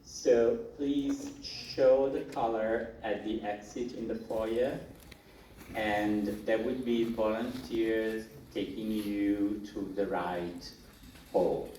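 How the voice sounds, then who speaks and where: Slow, low-voiced man. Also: steady tone, dark tone. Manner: hesitant, with fillers and restarts. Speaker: event organizer giving instructions. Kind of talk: informal announcements to an audience. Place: large hall at a literature event. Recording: echoey hall, far from the mic, faint noise.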